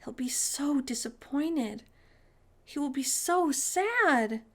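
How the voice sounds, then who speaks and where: Woman as whiny, high-voiced young girl Sophie. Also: lively intonation, slow. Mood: sad. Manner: whiny, dramatic. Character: stubborn, whiny young girl Sophie, bratty but soft-hearted.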